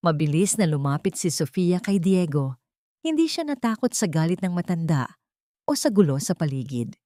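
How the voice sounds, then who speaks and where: Woman, story narrator, scripted dramatic delivery, slightly low pitch, dry studio sound. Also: sing-song, moderate pace, mellow, no room sound.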